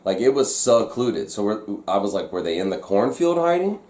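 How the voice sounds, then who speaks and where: A man's voice: lowish voice, big pitch swings, normal speed, darkish tone. A man hosting a vlog, telling a story to viewers in a casual, conversational way. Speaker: vlog host. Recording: echoey, roomy sound.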